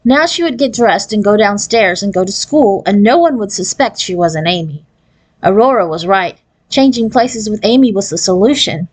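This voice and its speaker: A woman narrating a children's novel as an expressive read-aloud. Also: mid-range voice, moderate pace, husky, poor audio. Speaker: storybook reader.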